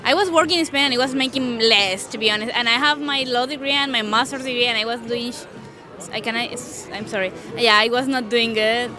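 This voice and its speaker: A woman from Colombia answering street interview questions, halting and correcting herself as she talks. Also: fairly high pitch. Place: city street outdoors. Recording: busy street crowd behind. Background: crowd.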